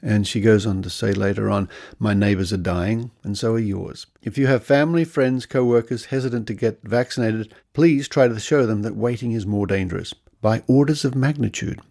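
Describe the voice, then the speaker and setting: A man's voice: lowish voice, moderate pace, creaky, few pauses, warm tone. A man hosting a podcast, commenting on news and reading quotes with conversational asides. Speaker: podcast host.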